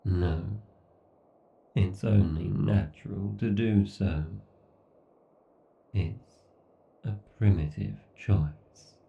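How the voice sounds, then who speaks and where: A muffled, distant-sounding recording carries a villainous AI god , acted by a man, obsessed and menacing. The voice is thick with gravel, brooding through a horror roleplay monologue with deliberate pauses between phrases. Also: low-pitched, heavily acted. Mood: menacing, grandiose. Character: obsessed, villainous male AI god ruling a scorched apocalypse.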